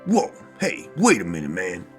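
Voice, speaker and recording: An actor playing a brash, hot-tempered big rebel in a game parody, excitable and blunt. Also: male voice, deep voice, normal speed, faint music behind. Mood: agitated.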